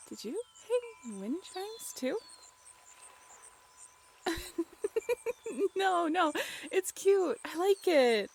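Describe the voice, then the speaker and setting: Woman acting a high-voiced, playful young girlfriend. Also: gravelly, heavily acted. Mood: delighted, touched. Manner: giggly, teasing, halting and expressive. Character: playful, teasing young woman with her werewolf lover. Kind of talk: intimate roleplay audio drama, natural conversational acting.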